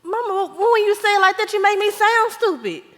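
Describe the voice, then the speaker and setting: A woman's voice: extremely high pitch, animated, brisk, a bit bright. A woman acting an indignant, stubborn married daughter in a stage play, with an American accent and an exclamatory, defensive delivery. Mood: sheepish, protesting. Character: indignant, stubborn young married daughter arguing with her mother. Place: live stage in a theater. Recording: echoey theater hall with long reverb.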